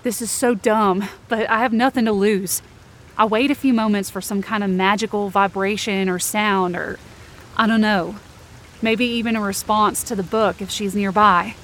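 Woman, audio drama narrator, first-person, tense inner monologue, medium pitch. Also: steady tone, normal speed, a bit bright, slight background noise. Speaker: narrator and lead performer.

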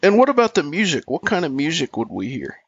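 A man's voice: a little high, huge pitch swings, fast, husky, no room sound, lo-fi sound. A man hosting an interview show, presenting his guest and putting questions to him. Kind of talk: scripted introduction and friendly interview questions.

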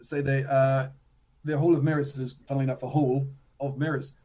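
Slightly hoarse chatty man. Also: medium pitch, level tone, muffled recording, over a noisy crowd. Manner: chatty, self-deprecating, quick asides. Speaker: guest, tabletop game designer. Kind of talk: casual interview chat.

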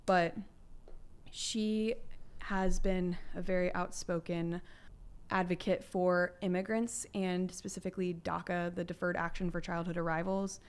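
A young woman co-hosting a casual podcast, chatty and emphatic with youthful slang, her voice a bit lowered as she recovers from flu. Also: level tone, unhurried, smooth. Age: young adult. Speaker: podcast co-host.

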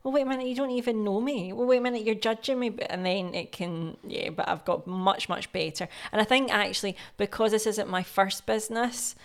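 A woman's voice: mid-range voice, fairly quick, few pauses. A woman with a Scottish accent co-hosting a podcast, talking in a chatty, reflective way and often chiming in with agreement. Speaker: podcast co-host. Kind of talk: casual business chat.

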